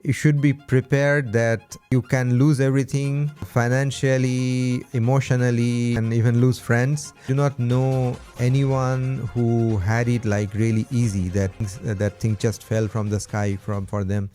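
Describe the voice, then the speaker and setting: A man from India with an Indian accent, answering casually as an interview guest in measured, hesitant phrases. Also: mid-range voice, little pitch movement, mellow, soft background music. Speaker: podcast guest, event co-founder.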